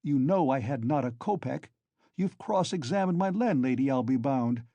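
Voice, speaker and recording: Guy doing a bitter feverish young man from a storybook, taunting, kinda husky. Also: mid-range voice, animated, moderate pace, mellow, no room sound, dull audio. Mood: feverish mocking. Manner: mocking, then pleading in a frenzy.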